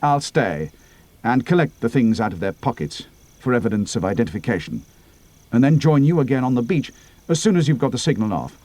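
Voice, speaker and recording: A man's voice: medium pitch, sing-song, fairly quick, darkish tone, no room sound, natural voice. A man voicing a grave, decisive young air adventurer in a storybook reading, with a terse, commanding manner. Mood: grave, businesslike. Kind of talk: dramatic audiobook character reading.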